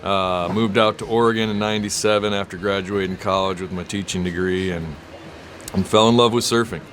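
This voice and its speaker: A man's voice: deep voice, steady tone, fairly quick, a bit bright, a little hiss or hum. A man in his forties from the US Midwest, a surf teacher, speaking in a thoughtful, philosophical way. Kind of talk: reflective interview talk.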